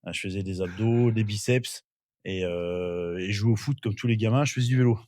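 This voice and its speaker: Low-voiced man telling his story. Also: fairly quick, no echo, clean studio sound. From northern France. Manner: relaxed storytelling with many fillers and restarts. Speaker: interview guest. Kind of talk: casual podcast interview.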